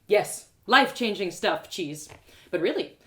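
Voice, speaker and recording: Animated woman reading a story aloud. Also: mid-range voice. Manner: expressive, dramatic pauses. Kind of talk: animated first-person fantasy storytelling, live reading.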